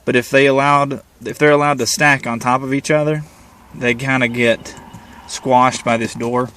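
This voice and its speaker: A man's voice: medium pitch, steady tone, moderate pace, fairly bright tone, slight background noise. A man giving a casual hands-on how-to demonstration, talking in a plain, folksy, informal way. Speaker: amateur how-to presenter. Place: in and around parked vehicles.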